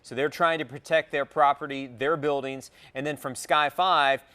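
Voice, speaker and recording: A man's voice: a little high, steady tone, pushed and bright, smooth. A man anchoring live news with unscripted commentary, often restarting and repeating words as he ad-libs. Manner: halting, repeats words while ad-libbing.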